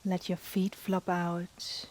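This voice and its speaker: A woman guiding a yoga nidra meditation in a soft, breathy, soothing manner with long pauses. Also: on the low side, little pitch movement. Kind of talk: guided meditation.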